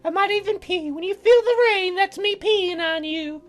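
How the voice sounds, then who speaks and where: A woman's voice: extremely high pitch, moderate pace, faint music behind, voice pushed up. A woman voicing a playful, teasing young fairy girl in a visual novel, with a girlish, sing-song delivery. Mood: sweetly apologetic. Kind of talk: visual novel character read in a let's play.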